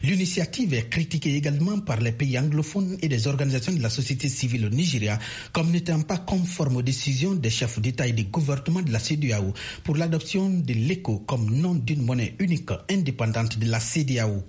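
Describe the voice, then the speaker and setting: Man reading the news, super raspy voice, formal and even. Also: mid-range voice, fairly quick. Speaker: news correspondent. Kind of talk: scripted news report.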